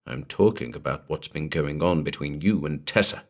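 A man voicing a softly menacing, vengeful killer in a gentle, low, husky hush for a dramatic story. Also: big pitch swings, full character voice. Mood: quiet taunting. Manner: slow, gentle, taunting. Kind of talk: dramatic audiobook character reading.

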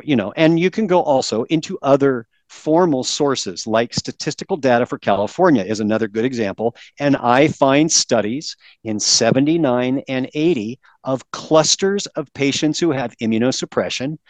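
A man's voice: mid-range voice, no room sound. A man who is a guest on a podcast, explaining his research in an emphatic, lecturing way. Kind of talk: interview, explaining a presentation.

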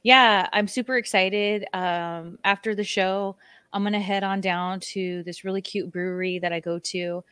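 A woman co-hosting a casual podcast, chatty and blunt, and quick to poke fun at herself. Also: medium pitch, steady tone, fairly bright tone, clear, resonant tone, dry recording. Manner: chatty, self-mocking, blunt. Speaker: podcast co-host. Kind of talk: casual chat.

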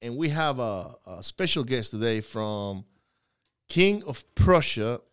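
A man from Venezuela hosts a casual podcast interview, chatty and joking, often restarting his sentences. His voice is smooth and clear, at a middle pitch. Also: very animated, takes it slowly. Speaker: podcast host.

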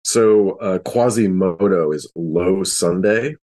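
A man talking casually as a podcast co-host and game master, in a halting, self-interrupting way. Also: deep voice, mellow. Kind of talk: casual panel chat.

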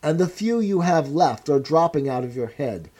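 A cold, measured male interrogator, voiced by a man reading a story aloud. He speaks with quiet, commanding contempt. His voice is mellow and sits a little high. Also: expressive, slightly rough. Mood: contemptuous.

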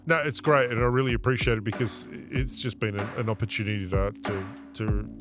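A man's voice: lowish voice, fairly even intonation, a little fast, music in the background. A man with an Australian accent hosting a podcast interview, giving short affirmations and asking rambling questions. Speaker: podcast host.

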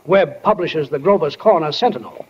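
An actor playing a wry, plainspoken small-town narrator in a 1940s radio drama. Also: male voice, a little high, lively intonation, normal speed, a little hiss or hum, close to an everyday voice. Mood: folksy, wry. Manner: plainspoken, drawling folksy wording. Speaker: stage manager narrator. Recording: muffled old 1940 radio broadcast.

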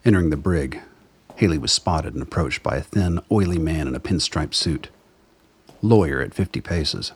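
A man reading scripted dramatic narration as the narrator of a radio play, with a husky voice. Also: rumbling low, moderate pace.

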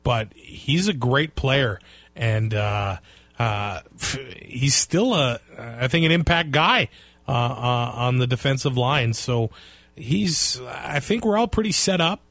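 A man co-hosting a casual sports podcast, talking in a relaxed, halting way with lots of fillers. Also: medium pitch, dry recording. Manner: relaxed, hesitant with many fillers. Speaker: podcast co-host.

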